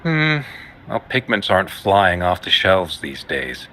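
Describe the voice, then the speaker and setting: A man's voice: low voice, unhurried, a little hiss or hum, exaggerated voice. A man voicing a friendly, teasing male pigment shopkeeper in a game. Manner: playful, teasing, lively. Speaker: game character.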